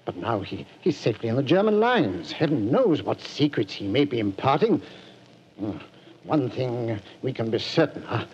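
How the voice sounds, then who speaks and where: An actor playing a stammering old army general. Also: male voice, mid-range voice, big pitch swings, a little mellow, close to an everyday voice. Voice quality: stammering, halting. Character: stern older male army general, honor-bound. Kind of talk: 1940s radio acting. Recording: dull lo-fi radio recording with faint hiss.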